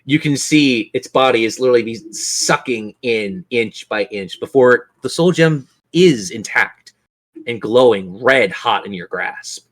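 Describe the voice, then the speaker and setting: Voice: mid-range voice, animated, unhurried, a bit bright. A game master running a tabletop roleplaying game, narrating scenes in a theatrical, suspenseful way.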